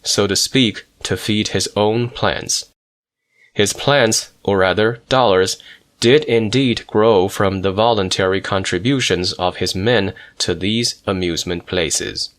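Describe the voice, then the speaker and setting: A man narrating a memoir audiobook in a measured, reflective manner. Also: on the low side, a bit bright.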